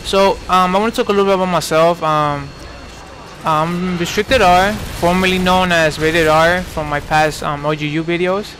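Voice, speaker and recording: A man's voice: high voice, steady tone, moderate pace, a bit bright, a little hiss or hum. Rambling young gaming commentator, man. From New York. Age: 20s. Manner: rambling, lots of fillers and restarts. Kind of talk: casual gameplay commentary.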